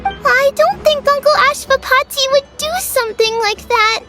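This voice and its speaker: A woman voicing a small, excitable, earnest fairy-like companion in a video game. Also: very high-pitched, moderate pace, faint music behind. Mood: earnest, hesitant. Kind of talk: video game character acting.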